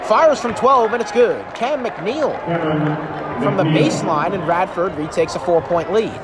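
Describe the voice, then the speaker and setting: A high-voiced man calls live basketball for radio over a loud crowd and heavy arena noise. He follows the ball in clipped phrases and stretches out his calls excitedly when shots drop. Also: unhurried. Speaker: play-by-play basketball commentator. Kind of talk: live radio play-by-play commentary. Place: indoor college basketball arena.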